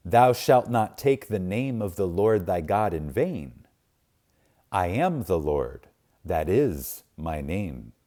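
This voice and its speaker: Slow, solemn man reading scripture-like text. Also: sits low, very animated, warm tone. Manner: solemn, emphatic, with deliberate pauses. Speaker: reader of a spiritual book. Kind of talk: reverent scripted reading, audiobook-like.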